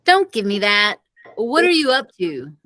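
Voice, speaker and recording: A woman voicing an inquisitive, suspicious schoolboy with expressive, questioning delivery in a read-aloud children's story. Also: mid-range voice, unhurried, slight background noise. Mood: nosy, suspicious. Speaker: co-reader of a children's novel.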